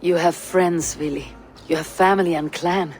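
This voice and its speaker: Woman playing a steady, blunt Viking warrior. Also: on the low side, normal speed, lightly acted. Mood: earnest. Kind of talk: dramatic video game acting. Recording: music behind the voice.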